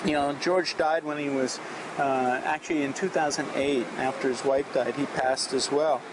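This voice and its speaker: A man vlogging outdoors in a reflective, earnest style, with measured delivery. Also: mid-range voice, fairly even intonation. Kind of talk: reflective talk and reading aloud of an inspirational message. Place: outdoors at a beach. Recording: noisy outdoor recording with traffic-like ambience. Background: clear outdoor ambient noise.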